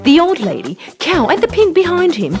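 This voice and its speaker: A woman narrating a children's story in an animated, expressive way. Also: extremely high pitch, moderate pace. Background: music.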